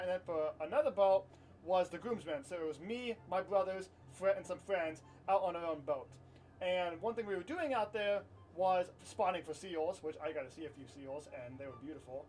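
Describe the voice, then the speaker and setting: A man's voice: high voice, recorded from a distance, slight background noise, poor audio. A man telling a personal story casually to camera in an informal, rambling way, repeating himself and swearing now and then. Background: light music.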